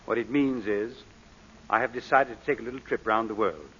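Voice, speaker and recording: An actor playing a suave, philosophical gentleman crook with a wry, polished theatrical delivery in an old radio play. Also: fairly low pitch, brisk, breathy edge, full character voice. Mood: courtly, resolved. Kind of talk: 1940s radio acting. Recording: dull old radio recording, long reverb.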